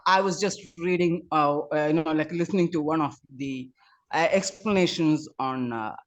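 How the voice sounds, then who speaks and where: A woman's voice: deep voice, normal speed. A woman, speaking unscripted in a group discussion, polite and hesitant as she searches for words.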